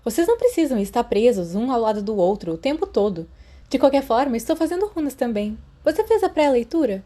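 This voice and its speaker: A woman's voice: fairly high pitch, big pitch swings, fairly quick, dark tone, voice pushed up. A woman voicing a kind, self-assured, studious teenage schoolgirl in an audiobook, firm and forthright. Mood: firm, friendly.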